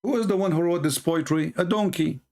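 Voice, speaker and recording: A man's voice: a little high, a little fast, dark tone. Mocking man with an Arabic accent. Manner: mocking, scornful, challenging listeners; non-native broken grammar, repetitive. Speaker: religious commentator. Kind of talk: combative online commentary.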